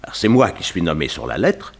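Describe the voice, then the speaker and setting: A man's voice: low voice, wildly swooping pitch, a little fast, heavily acted. A man playing a threatened wealthy industrialist and father, explaining himself formally in a 1960s French radio crime drama. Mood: concerned, explanatory.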